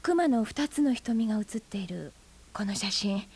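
An actress in her forties playing a brooding, bitter aunt who is a schoolteacher, speaking softly and often in a half-whisper in a radio drama. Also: mid-range voice, lively intonation, measured pace, slightly rough. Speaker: high school teacher.

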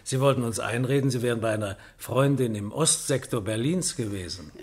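An actor playing an impatient, sceptical police inspector who questions probingly in a radio drama. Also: male voice, medium pitch, fairly quick, breathy edge.

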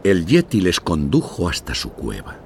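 A man narrating a children's story in an expressive, theatrical scripted style. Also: sits low, moderate pace, a little hiss or hum.

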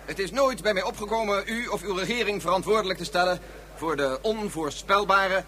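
A man's voice: sits high, fairly quick, sharp tone, slight background noise. An actor playing an awkward, hesitant male scientist in a radio play, stammering through formal speech. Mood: flustered.

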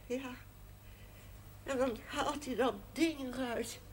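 An actress playing a sick, brooding woman in a television drama, speaking haltingly. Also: high voice, fairly even intonation, slight background noise. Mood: brooding, pained.

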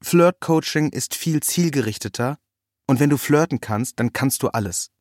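A man's voice: medium pitch, lively intonation, normal speed, darkish tone, no echo, clean studio sound, natural voice. A man voicing a self-assured, lecturing man over thirty who is devoted to dating coaching, in a read story. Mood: instructive, convinced. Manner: lecturing, matter-of-fact, peppered with English jargon. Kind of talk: quoted dialogue in a read story.

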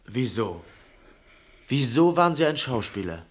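Earnest, animated young challenger. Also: male voice, mid-range voice, unhurried. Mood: curt, wary. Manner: direct, challenging. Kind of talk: German radio drama acting.

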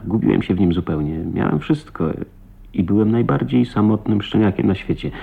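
Low, husky, tender husband. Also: male voice. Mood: reflective. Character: tender, earnest husband, a lonely millionaire's son. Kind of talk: radio drama acting. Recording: dull, muffled old radio recording.

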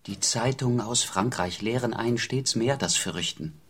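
An actor playing a sedate, attentive gentleman companion in a radio drama, composed and measured, with a creaky voice. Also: mid-range voice. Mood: composed, sober. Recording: background ambience noise.

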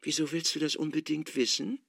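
An actress playing a coy, capricious young married temptress in a radio play, hushed and teasing. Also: female voice, low voice, level tone, brisk, creaky, no echo, studio quality, exaggerated voice. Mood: coy, dismissive.

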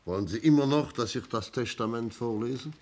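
A man acting a shrewd, self-assured police inspector in a 1960s German radio play, explaining deliberately and emphatically. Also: mid-range voice, darkish tone, a little hiss or hum, close to an everyday voice. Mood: grave.

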